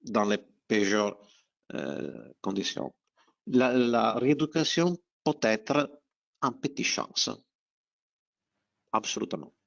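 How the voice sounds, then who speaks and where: A man with an Italian accent, a guest doctor answering informally and haltingly, searching for words as a non-native speaker. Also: fairly low pitch, expressive, vocal fry. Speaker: doctor, guest webinar presenter. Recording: dull, band-limited webinar audio.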